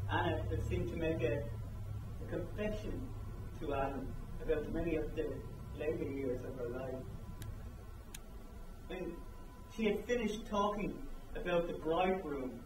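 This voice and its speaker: Male actor as regretful husband, middle-aged. Stage reading, deliberate and earnest, slightly high voice, distant microphone. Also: natural voice. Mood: puzzled, pensive. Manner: halting, deliberate, earnest delivery. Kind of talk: dramatic reading of a stage play. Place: echoing room or hall, recorded from afar. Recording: echoey room, distant mic, loud noise.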